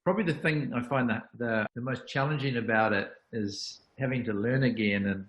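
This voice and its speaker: A man's voice: medium pitch, brisk, fairly bright tone, roomy sound, poor audio. A New Zealand man in his fifties, chatting over a video call, self-deprecating and full of restarts. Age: 50s. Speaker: guest, consultant. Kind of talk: casual video call chat.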